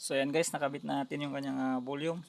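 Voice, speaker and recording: Man, Filipino accent, creaky voice, even monotone pitch, casual repair tutorial, halting delivery, repeats himself. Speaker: electronics repair tutorial host. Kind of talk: casual hands-on step-by-step demonstration. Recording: noisy amateur recording with clicks.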